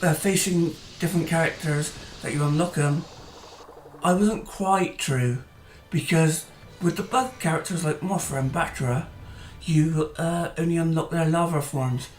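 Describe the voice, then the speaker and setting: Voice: medium pitch, measured pace. A gaming channel host giving casual, rambling commentary over gameplay, full of hesitant fillers and restarts, his voice tiring as he goes. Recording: roomy echo, faint music behind.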